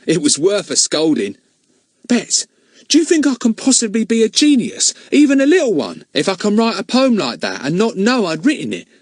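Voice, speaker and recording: A man's voice: high voice, huge pitch swings, normal speed, no room sound, studio quality, voice pushed up, full character voice. A man voicing a simple, earnest boy who is hopeful and proud, in a storybook reading.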